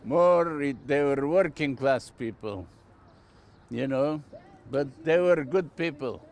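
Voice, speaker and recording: A man's voice: fairly high pitch, takes it slowly, darkish tone. An older man from Bulgaria with a Bulgarian accent, telling anecdotes casually and plainly as a shopkeeper being interviewed. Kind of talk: casual oral storytelling.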